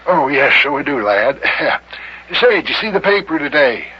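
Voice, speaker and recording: A man's voice: a little high, very animated, moderate pace, husky. A man playing a proud, lovestruck old small-town mayor, folksy and pleased with himself, in old-time radio comedy. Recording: muffled vintage radio recording.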